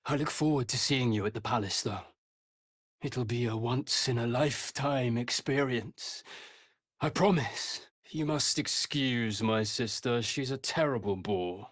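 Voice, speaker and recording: A man's voice: mid-range voice, a little slow, fairly bright tone, no echo, clean studio sound. An actor playing an arrogant, sneering young male vampire spawn in a video game, haughty and taunting, with a drawling delivery. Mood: smooth, mocking. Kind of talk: dramatic video game character acting.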